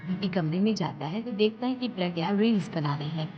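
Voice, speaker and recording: A woman's voice: lowish voice, lively intonation, fairly quick. A woman narrating a scripted story as a storyteller. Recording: dull audio under loud music.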